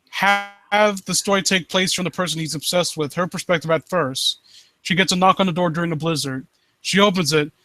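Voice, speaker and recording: A man hosting a live stream, reading and commenting on stories in a halting, rambling way and often rephrasing himself. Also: high-pitched, pushed and bright, dry recording, poor audio. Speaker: live stream host reading and critiquing amateur horror stories. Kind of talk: story read-aloud and casual commentary.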